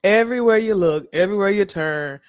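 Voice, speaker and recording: A woman co-hosting a podcast in casual chat, slightly hoarse after a cold, chatty and playful. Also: medium pitch. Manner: chatty, playful, talks in quick bursts. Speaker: podcast co-host.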